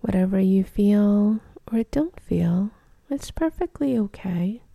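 A woman leading a guided meditation in a gentle, soothing, breathy near-whisper with long pauses. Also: mid-range voice, expressive, dry recording.

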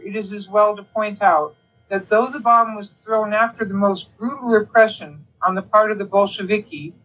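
A woman reading a polemical letter aloud as a podcast reader, in a dramatic style with emphatic, deliberate phrasing. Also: medium pitch, fairly bright tone. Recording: dull lo-fi sound with music behind. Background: music.

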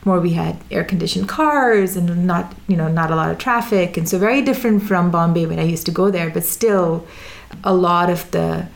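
A woman with a lowish, gravelly voice recalls her childhood in an easy, conversational way. She wanders through her stories with frequent fillers and restarts. The tone stays relaxed and unhurried. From Sudan, raised in Dubai. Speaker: interview guest. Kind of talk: casual conversational storytelling.

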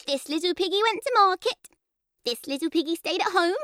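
A woman's voice: extremely high pitch, animated, fairly quick, sharp tone, no room sound, studio quality, pitched above the performer's own voice, heavily acted. A woman voicing a curious, playful little girl with a childlike sing-song manner, in an audiobook story. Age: young child. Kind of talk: audiobook character voice.

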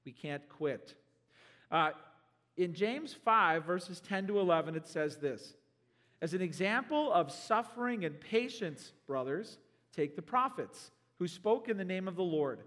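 A man with a high-pitched, slightly hoarse voice preaches to his congregation. He is casual and joking, then animated as he builds a point. His voice rings out with a long reverb through the church hall. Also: a little slow. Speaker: pastor. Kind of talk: sermon. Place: church sanctuary.

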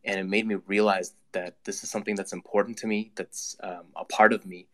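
A man's voice: fairly low pitch, little pitch movement, a little fast, bright, forceful tone. A man speaking earnestly and steadily as a panelist on a video call. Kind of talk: panel discussion.

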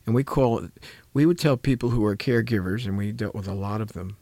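Low-voiced, earnest man speaking haltingly. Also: dark tone, dry recording. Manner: halting, searching for words, earnest. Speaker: clinician and programme host. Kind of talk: reflective conversational talk on caregiving.

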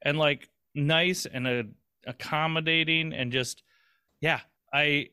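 A man's voice: a little high, fairly even intonation, takes it slowly, sharp tone, clear, resonant tone, no room sound, studio quality. A man co-hosting a podcast in casual chat, rambling and swearing casually with lots of restarts. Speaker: podcast co-host.

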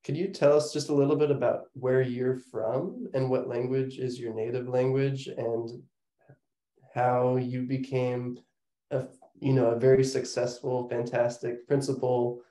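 A man's voice: medium pitch, steady tone, unhurried, dark tone, reverberant. A man hosting an interview podcast, enthusiastic and affirming toward his guest. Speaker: podcast host.

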